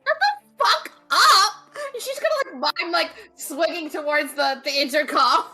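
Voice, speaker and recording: High, animated, bright-voiced woman. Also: unhurried, soft background music. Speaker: player in tabletop game. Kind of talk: casual in-character role-play chat.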